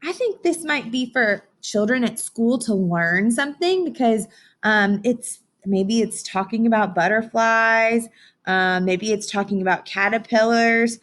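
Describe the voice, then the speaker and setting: A woman's voice: fairly high pitch, measured pace, a bit bright. A woman teaching young children in an interactive read-aloud lesson, encouraging and instructional, often putting questions to her listeners.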